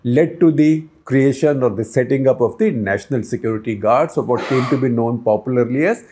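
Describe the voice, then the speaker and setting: A man's voice: medium pitch, a little fast, warm tone, slight background noise. An older man hosting a news explainer, talking to camera in a conversational way and posing questions to the viewer, recorded on a lapel mic in a book-lined office.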